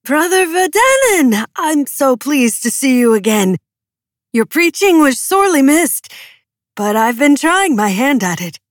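A woman voicing an eager, nervous young male preacher from a storybook, talking high and flustered. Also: lively intonation, moderate pace, a bit bright, dry recording, studio quality.

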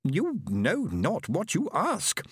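A man acting out a prim, easily offended alien, high-pitched and slow-spoken. He draws out his words with grand disdain. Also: huge pitch swings, husky, no echo, clean studio sound, exaggerated voice. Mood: haughty. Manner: shrill, indignant, drawn out. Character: haughty fussy alien male Fenobe.

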